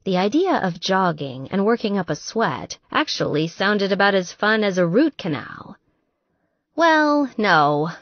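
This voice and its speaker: A woman's voice: mid-range voice, dry recording, muffled recording. A woman reading a scripted fiction story as an audiobook narrator.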